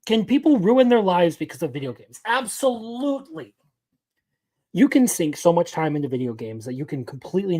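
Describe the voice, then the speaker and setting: A man's voice: fairly high pitch, warm tone. A man in his twenties giving casual live-stream commentary as an anime news host, opinionated and rambling, answering his chat as he goes. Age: 20s.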